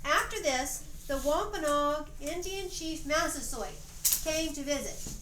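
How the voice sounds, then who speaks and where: High-voiced woman narrating in a roomy, noisy space. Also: expressive, a little slow, sharp tone. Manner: coaching, prompting others to repeat lines. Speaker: narrator and prompter of a homemade family play. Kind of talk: read-aloud storytelling with off-the-cuff stage directions. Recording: roomy, noisy amateur home recording.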